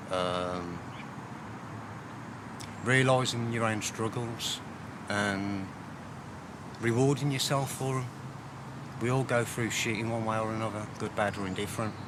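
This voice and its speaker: A middle-aged man, a poet, reflecting on his life and reading his poems in a candid, plain-spoken, halting way. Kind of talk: frank personal reflection and poetry reading. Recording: noisy ambience, rumbling background noise.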